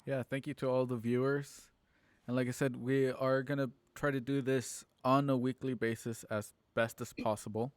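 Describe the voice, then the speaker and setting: A man's voice: mid-range voice, flat delivery, normal speed, clear, resonant tone, dry recording. A man hosting a casual livestream sign-off, thanking and addressing viewers. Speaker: producer and host.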